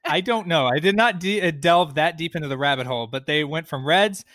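A man's voice: a little high, a little fast, a bit bright, no room sound, studio quality. A man talking sports and data conversationally as a podcast panelist.

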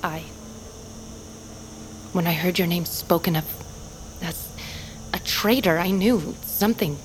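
A woman's voice: fairly low pitch, takes it slowly, a little hiss or hum. A woman playing a loyal, wary bird-folk rescuer in a fantasy audio drama, earnest and guarded. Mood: grave, protective.

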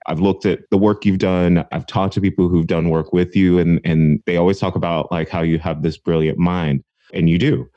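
A man with a very low, warm voice hosts an interview podcast, eager and a little stumbling as he speaks. The audio is dry and close, with a slightly dull, muffled tone. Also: dry recording. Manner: enthusiastic, with small stumbles. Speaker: podcast host, assistant professor.

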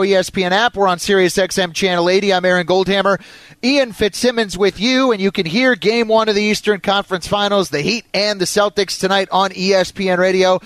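High-voiced male sports radio host. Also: fairly bright tone, smooth, no room sound. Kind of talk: live sports talk radio hosting.

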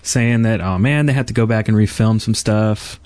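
A man's voice: on the low side, moderate pace, darkish tone, no echo. A man hosting a gaming podcast in a casual, conversational way. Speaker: podcast host.

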